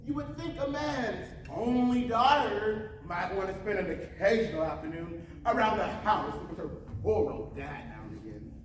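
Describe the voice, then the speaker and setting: A man's voice: sits high, lively intonation, normal speed, hardly any pauses, a crowd in the background. A young man on stage playing a worried, folksy middle-aged small-town father. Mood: self-pitying. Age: young adult. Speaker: student actor in a school one-act play. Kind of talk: theatrical stage acting. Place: large auditorium stage, distant microphone. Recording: echoey stage from far off, noisy.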